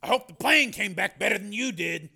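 Grumpy older mechanic, gravelly and raspy, high and sharp-edged, voiced by a male narrator. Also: expressive, a little slow, heavily acted. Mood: gruff. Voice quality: gruff, gravelly.

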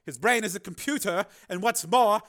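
A man voicing a cold, imperious male senator who speaks in formal, clipped, threatening tones, pitched above his own voice. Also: animated, a little fast, sharp tone, heavily acted. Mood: stern.

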